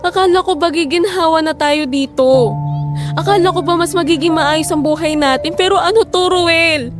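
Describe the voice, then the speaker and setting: A woman plays a worn-down young family's mother with a high voice. She speaks with emotion, pleading and reproaching, in a radio-style drama. Soft music plays underneath. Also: normal speed. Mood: frustrated, desperate. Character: weary, frustrated wife and mother in hard times. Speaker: voice actor. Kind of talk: Filipino audio drama acting.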